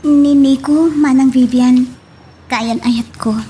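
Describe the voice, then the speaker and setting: A woman's voice: high-pitched, fairly even intonation, slow, dark tone. A woman acting a shy, hesitant young woman in love in an Ilocano audio drama. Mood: hesitant, polite. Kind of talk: radio-style drama acting. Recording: faint background noise.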